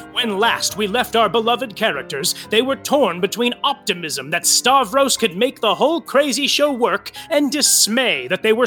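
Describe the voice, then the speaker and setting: A woman playing a wry, chatty narrator of a backstage theatre comedy podcast, with mock-dramatic flair. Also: on the high side.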